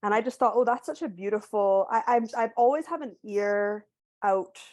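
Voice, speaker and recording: A woman from Barbados, an author, talking through a video call. She tells her story thoughtfully with small restarts and sounds a little hoarse from a cold. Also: medium pitch, normal speed, darkish tone, dry recording. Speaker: author guest. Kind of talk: casual book club video call conversation.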